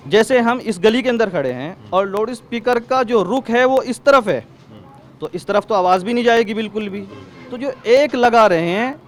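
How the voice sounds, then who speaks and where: A man with a western Uttar Pradesh accent giving an emphatic, explanatory interview answer, repeating points for stress. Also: high-pitched. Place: outdoors in a residential lane. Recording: faint outdoor street noise.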